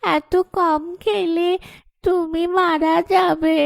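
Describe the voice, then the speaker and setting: A woman's voice: very high-pitched, steady tone, drawn-out words, creaky, dry recording, clean studio sound, exaggerated voice. A woman voicing an innocent, caring orphaned little girl in a cartoon, with a childlike, pleading way of talking. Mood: sad, pleading. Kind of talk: cartoon voice acting.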